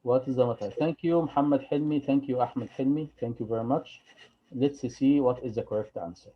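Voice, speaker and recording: A man, teaching an English lesson, drilling phrases slowly and patiently for students to repeat. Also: medium pitch. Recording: dull lo-fi recording.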